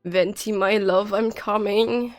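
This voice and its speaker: A woman's voice: mid-range voice, steady tone, slow, faint music behind. A woman livestreaming a game with casual, informal chat and commentary, her nose congested and her voice slightly nasal. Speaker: game streamer.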